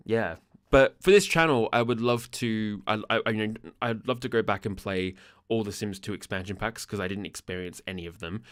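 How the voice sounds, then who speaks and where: A young man with a croaky, gravelly voice from a sore throat, rambling casually with lots of ums and laughs over a game build. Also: lowish voice, no room sound, studio quality. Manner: rambling, self-interrupting, frequent ums and laughs. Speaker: gaming YouTuber.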